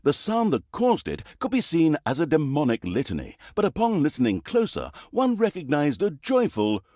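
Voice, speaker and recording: Wry mock-grand narrator for an evil fantasy game, pitch swings all over, super dry close sound. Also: male voice, wildly swooping pitch, moderate pace, warm tone, no echo. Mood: dramatic, amused. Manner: wry, mock-grand, fourth-wall-breaking storyteller. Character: sardonic, theatrical male storyteller of an evil fantasy realm. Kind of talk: theatrical scripted comic fantasy narration.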